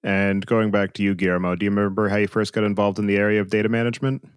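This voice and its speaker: Brisk low-voiced male podcast host. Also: flat delivery, clear, resonant tone, no room sound, clean studio sound. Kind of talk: interview questions.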